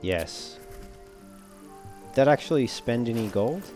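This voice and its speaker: A man giving casual, low-key let's play commentary, musing aloud as he plays. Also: lively intonation, slow, darkish tone. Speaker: gaming video host. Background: game music.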